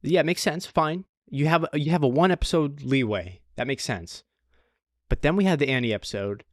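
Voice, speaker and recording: A man's voice: fairly high pitch, normal speed, no echo, clean studio sound. A man co-hosting a casual anime review podcast, chatting informally and often repeating short phrases for emphasis. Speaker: podcast co-host.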